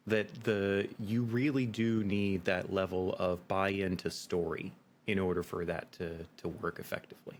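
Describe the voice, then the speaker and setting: Male podcast guest, game master. Very low voice, emphatic explainer, noisy background. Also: level tone, a little slow. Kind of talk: interview conversation.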